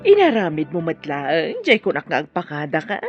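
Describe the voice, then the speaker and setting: An actress in an Ilocano radio drama playing a pushy, scheming mother, with a husky voice. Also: deep voice, huge pitch swings, moderate pace, soft background music, exaggerated voice. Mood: coaxing. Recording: dull lo-fi audio.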